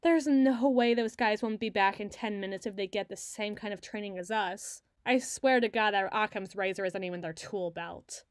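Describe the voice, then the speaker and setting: A woman acting a sardonic, fast-thinking, bossy secret agent in an ASMR roleplay audio drama, wordy and wry. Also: medium pitch, dry recording, clean studio sound, close to an everyday voice. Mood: wry, explanatory. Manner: wry, wordy, teasing, flustered when challenged.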